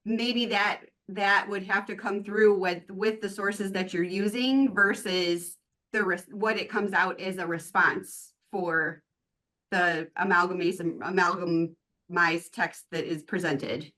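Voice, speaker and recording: Midwestern woman on a call, bright tense voice, rambly question with lots of restarts. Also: medium pitch, steady tone, slow. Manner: rambling and self-correcting, with many restarts. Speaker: attendee asking questions. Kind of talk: casual question on a video call.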